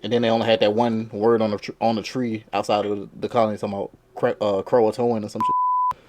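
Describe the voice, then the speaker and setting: Lively, expressive man playing a quiz. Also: lowish voice, fast talker, a little hiss or hum. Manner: animated, laughing, swears casually. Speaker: quiz contestant. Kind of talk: casual banter among friends.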